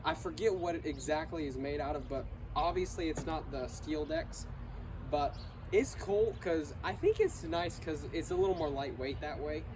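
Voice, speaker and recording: A young man with a slightly high, congested voice talks through his lawn mower in a loose, hesitant ramble, stopping often to restart his thoughts. He is recorded outdoors, with clear background noise around him. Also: animated, moderate pace. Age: young adult. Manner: hesitant, many fillers and restarts. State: congested, sick or allergies. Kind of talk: casual rambling product review.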